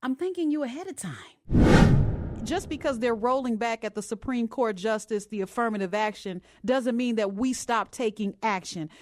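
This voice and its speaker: A woman's voice: on the high side, moderate pace, darkish tone. A woman hosting a radio talk show in a chatty, conversational way, speaking directly to her listeners.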